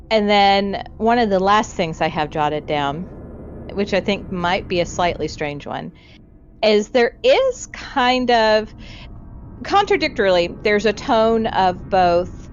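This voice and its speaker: A woman's voice: mid-range voice, expressive, slow pace, a little hiss or hum. A woman co-hosting a casual discussion, thinking aloud in loose, trailing lists. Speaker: podcast host. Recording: dull lo-fi audio, music behind.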